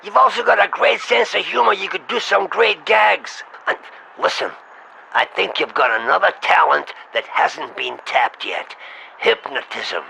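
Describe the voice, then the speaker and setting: A man playing a pushy agent in a comic phone call, coaxing and crude as he pitches an idea. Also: animated, measured pace, gravelly, bright, forceful tone, a little hiss or hum. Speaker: prank caller posing as an agent.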